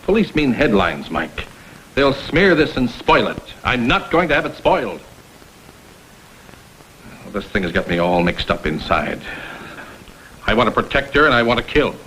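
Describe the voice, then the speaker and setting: A man's voice: medium pitch, very animated, a little slow, pauses often, a bit bright. An actor playing a middle-aged, self-made father in an old crime drama, fiercely protective, blunt and threatening. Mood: fierce, anguished. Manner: blunt, emphatic, tough-talking. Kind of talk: vintage American television crime drama acting. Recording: faintly noisy vintage film soundtrack.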